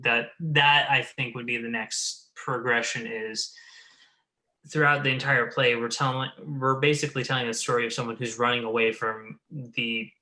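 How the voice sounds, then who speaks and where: Guy hosting a chat, thinking out loud about a story, really bright forceful voice. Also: mid-range voice, rough recording. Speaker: podcast host. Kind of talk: reflective discussion of a creative project.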